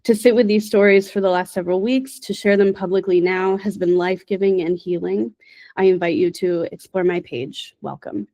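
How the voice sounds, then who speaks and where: A woman artist giving a measured, prepared presentation in an earnest, reflective manner. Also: medium pitch, fairly even intonation, warm tone. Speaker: artist presenting her work.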